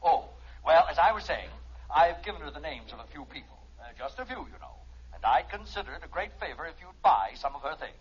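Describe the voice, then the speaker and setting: An actor with an American accent playing a genial, folksy older boss who wheedles hesitantly in a 1950s radio sitcom. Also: male voice, a little high, very animated, quick pace. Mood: wheedling. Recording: dull, hissy old radio recording.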